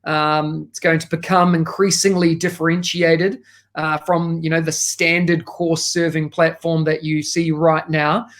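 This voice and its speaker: A man's voice: fairly high pitch, level tone, unhurried, a bit bright. A man with a New Zealand accent, talking enthusiastically as a podcast guest, with frequent fillers. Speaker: guest, education consultant. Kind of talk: casual podcast interview.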